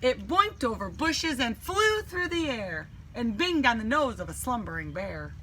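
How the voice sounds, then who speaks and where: A woman reading a children's storybook aloud in an animated, dramatic way with deliberate emphasis. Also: on the high side, slight background noise, low-quality recording. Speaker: teacher reading a picture book.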